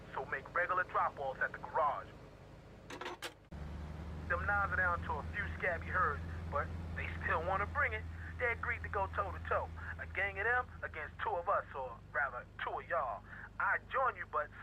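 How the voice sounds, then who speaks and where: A man's voice: sits high, pushed and bright, a little hiss or hum, exaggerated voice, rough recording. An actor playing a brash, streetwise American gang boss in a video game, slangy and urgent as he gives orders.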